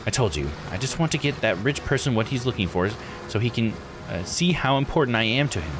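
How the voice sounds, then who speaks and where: A man's voice: mid-range voice, sing-song, fairly quick, noisy background, natural voice. Man voicing a bitter, proud island woman diver. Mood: bitter, indignant. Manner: resentful, haughty, blunt. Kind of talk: visual novel character read-aloud.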